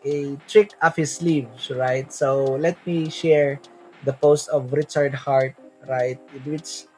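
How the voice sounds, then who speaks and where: A man's voice: mid-range voice, fairly even intonation, takes it slowly, faint music behind. A Filipino man hosting a casual livestream, rambling with many fillers and tag questions. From Philippines. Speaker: livestream host. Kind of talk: casual livestream crypto chat.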